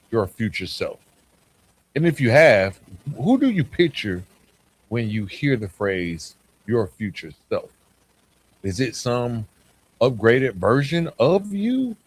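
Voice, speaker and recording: A male podcast host delivers a motivational monologue in a medium-pitched voice. His intonation moves widely and expressively, with deliberate emphasis on key phrases. He speaks straight to the listener, often framing points as rhetorical questions. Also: sing-song.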